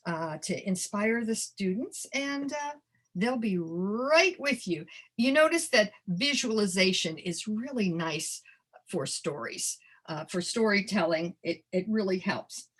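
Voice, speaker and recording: A woman presenting a storytelling workshop in an animated, theatrical and encouraging conversational manner. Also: mid-range voice, breathy edge. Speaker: storytelling presenter and early literacy educator. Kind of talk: workshop demonstration with storytime performance.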